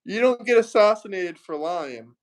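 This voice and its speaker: A man's voice: high-pitched, expressive, moderate pace. A man hosting a livestream, arguing heatedly and mockingly with a caller and asking viewers for super chats. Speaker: livestream host. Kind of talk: heated political livestream debate.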